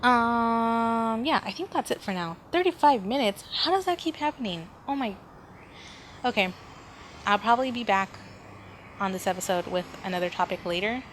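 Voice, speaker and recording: A woman's voice: a little high, expressive, moderate pace. Woman rambling casually over background noise. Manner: rambling, thinking aloud, informal. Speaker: podcast host. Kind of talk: casual solo podcast monologue. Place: indoors at home, near street noise. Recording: background noise, ambient room sound.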